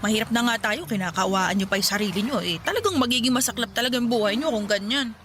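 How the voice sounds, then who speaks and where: A woman's voice: fairly high pitch, quick pace, a bit bright, a little hiss or hum, natural voice. A woman playing a spirited, cheerful young street vendor in a Filipino radio drama, sassy and bantering. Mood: brisk, scolding.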